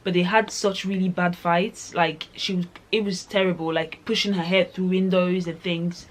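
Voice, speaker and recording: A woman's voice: on the low side, level tone, moderate pace, poor audio. A young woman reviewing a book in a chatty, rambling way, full of "like" and asides. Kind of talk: casual book review vlog.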